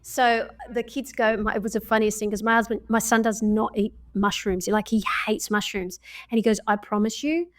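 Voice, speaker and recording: A woman's voice: medium pitch, level tone, moderate pace, few pauses. Australian woman, podcast guest, talkative, laughs through her stories, easy conversational delivery. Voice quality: chatty, laughs often. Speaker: podcast guest, travel creator. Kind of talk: casual storytelling chat.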